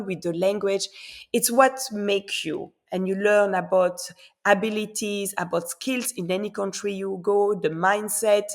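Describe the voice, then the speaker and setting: Earnest woman with a gravelly voice. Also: mid-range voice. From born in Congo, raised in Belgium and Switzerland. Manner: earnest, repeats words while searching for them. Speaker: guest. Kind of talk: reflective interview answers.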